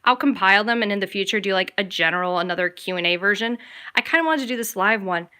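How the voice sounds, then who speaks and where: Young American female vlogger, bright crisp-edged voice, mid pitch, enthusiastic, rambling, informal live Q&A delivery. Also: fast. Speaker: travel vlogger and travel agent. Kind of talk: casual live Q&A chat to viewers.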